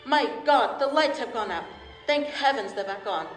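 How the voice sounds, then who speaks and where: A woman playing a formal, fussy male family lawyer in a radio drama, speaking in a measured, proper way. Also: a little high, animated, close to an everyday voice. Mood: smooth, proper. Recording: echoey hall, faint music behind.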